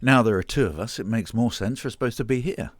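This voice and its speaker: An actor playing an evasive, defensive man in an audio drama, dry and clipped, with a husky voice. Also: medium pitch, fast, close to an everyday voice. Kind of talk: naturalistic audio drama acting.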